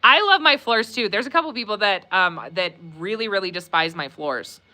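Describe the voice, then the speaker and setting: A woman's voice: mid-range voice, lively intonation, moderate pace. A woman hosting a live Q&A stream, chatting casually with many fillers, laughing often and reading viewer comments aloud. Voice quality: warm, conversational, many fillers.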